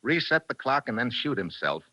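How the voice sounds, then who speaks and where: Hard-boiled radio investigator man, clipped, lively swings in pitch, dull old-time radio sound. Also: normal speed, no room sound. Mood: confident, accusing. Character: tough, wry male American investigator confronting suspects. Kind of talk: 1940s radio drama acting. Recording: muffled vintage 1940s radio recording.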